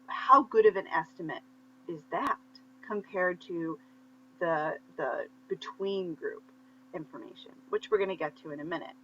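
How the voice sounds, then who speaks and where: Female instructor, crisp forward tone, patient stepwise lesson delivery, dull recording with faint background hum. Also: medium pitch, sharp tone. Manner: step-by-step explaining with check-in questions. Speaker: statistics lecturer. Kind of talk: conversational instructional explanation of slides. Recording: muffled lo-fi audio with faint hum.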